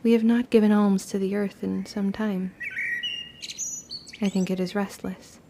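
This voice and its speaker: A woman's voice: medium pitch, little pitch movement, unhurried, gravelly, noisy background. An actress playing an earnest, bookish young witch in a period audio drama, speaking in formal old-fashioned phrases. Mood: wry, curious.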